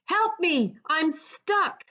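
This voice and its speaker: A big, proud bully of a crab played by a woman reading a storybook, bellowing and bossy. The delivery is slow and forceful, and the recording sounds dull and lo-fi. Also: extremely high pitch, lively intonation, pitched above the performer's own voice, heavily acted. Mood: panicked. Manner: bellowing, yelling, commanding.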